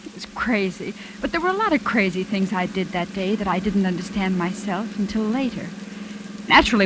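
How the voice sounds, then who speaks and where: A woman's voice: fairly high pitch, natural voice, poor audio. An actress playing a frightened but defiant young woman telling her story in a 1940s radio drama. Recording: vintage 1940s radio, music behind.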